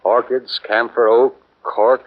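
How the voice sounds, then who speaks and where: A man's voice: mid-range voice, level tone, takes it slowly. An actor playing a casual, curious male officer in a 1940s radio drama. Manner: casual, conversational. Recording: dull old radio broadcast.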